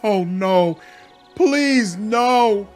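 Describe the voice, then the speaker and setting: A man acts a timid villager in a fantasy game. The voice is high and meek, sounding small and helpless. Music plays faintly behind. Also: expressive, very slow, darkish tone, full character voice. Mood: terrified, pleading.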